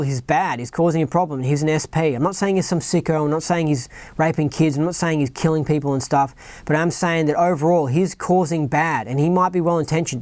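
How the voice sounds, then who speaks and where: Australian-accented podcast host, mid pitch, dry close mic, reads a script then drifts into chatty asides. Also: a little mellow, no echo. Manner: rambling, keeps asking "right?" and "you know what I mean?". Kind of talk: reading a written account aloud with off-script asides.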